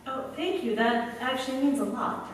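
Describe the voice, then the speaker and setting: A woman's voice: high voice, lively intonation, normal speed, far from the mic, a little hiss or hum. A woman playing a gutsy, hot-tempered wartime heroine in a staged radio play, recorded from afar in an echoey hall. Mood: touched. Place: large hall, live stage performance.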